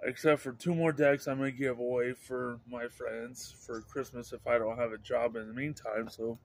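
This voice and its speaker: A middle-aged man vlogging outdoors, talking into a phone held at arm's length, halting and restarting words in an upbeat, rambling way. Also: mid-range voice, level tone. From Idaho, USA. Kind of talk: rambling casual vlog. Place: outdoors by a house under overcast sky. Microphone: handheld phone camera at arm's length.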